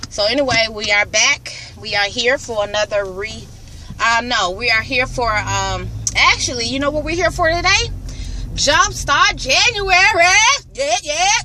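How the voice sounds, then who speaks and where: Chatty young woman with a bright voice. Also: a little high, measured pace, slight background noise. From Birmingham, Alabama, US. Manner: chatty, rambling, informal. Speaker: vlogger. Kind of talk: casual chat to camera. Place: in a car, driving home.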